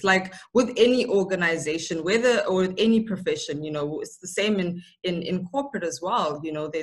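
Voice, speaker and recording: A woman in her thirties from South Africa, a guest speaker on a video call, talking thoughtfully with many fillers and repeated words. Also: fairly low pitch. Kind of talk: online talk, answering questions. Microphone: laptop webcam on a video call.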